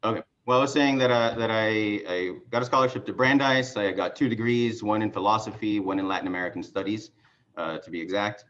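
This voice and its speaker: Deep-voiced man rambling on a video call. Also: a bit bright, highs cut off. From Mexico. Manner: rambling, halting with many fillers and restarts. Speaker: party chair candidate. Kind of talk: casual conversational self-introduction.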